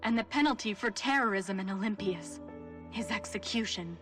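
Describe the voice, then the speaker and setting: A woman's voice: medium pitch, moderate pace, far from the mic, over music, natural voice. A woman playing an anxious, despairing militant in a video game cutscene, with a worried way of talking.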